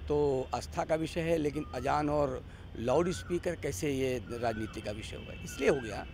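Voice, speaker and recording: A man's voice: medium pitch, moderate pace, a little mellow, a little hiss or hum. A man giving political commentary as an interview guest, arguing emphatically with repeated phrases and rhetorical questions.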